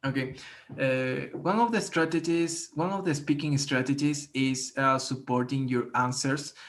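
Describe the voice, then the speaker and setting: A man from Ecuador with a Spanish accent, giving a class presentation on speaking strategies, careful and halting with many restarts. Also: medium pitch, a bit bright. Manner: careful, with frequent fillers and restarts. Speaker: student presenter.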